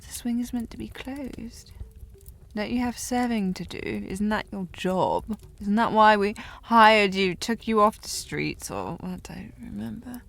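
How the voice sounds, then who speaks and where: A woman playing a tipsy, haughty young noblewoman in a historical audio drama, teasing and rambling in a halting way. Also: medium pitch, vocal fry, fairly bright tone. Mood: haughty, needling. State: playing slightly drunk. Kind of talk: scripted audio roleplay, period drama.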